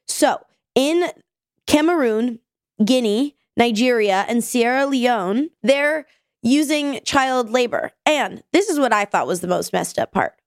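A woman's voice: fairly high pitch, lively intonation, measured pace, no room sound, studio quality. A woman co-hosting a casual podcast, chatty and sick with a nasal voice. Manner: chatty, tells stories with acted quotes. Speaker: podcast co-host.

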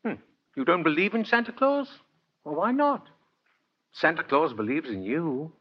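Jolly old Santa, wildly animated. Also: male voice, on the high side, normal speed, breathy edge, soft background music. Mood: genial, assured. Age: older. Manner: courtly, chatty and earnest. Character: jolly, kindly old man claiming to be Santa Claus. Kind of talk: 1940s radio acting. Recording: muffled vintage 1940s radio recording.

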